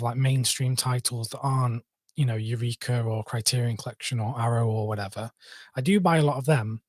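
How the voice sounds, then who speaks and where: A man's voice: medium pitch, no echo, clean studio sound. Rambling Mancunian man on a live stream. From Manchester, England. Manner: rambling, hesitant, many fillers and restarts. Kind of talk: casual live stream chat answering viewers.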